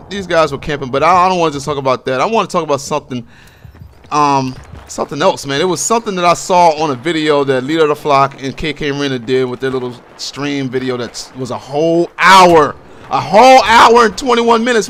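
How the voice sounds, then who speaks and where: A man's voice: fairly high pitch, fairly bright tone. An American man from Baltimore giving casual, rambling game commentary in an informal, slangy and opinionated way. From Baltimore, USA. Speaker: gaming commentator. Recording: home voice-over with clear background noise, gunfire. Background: video game gunfire and explosions.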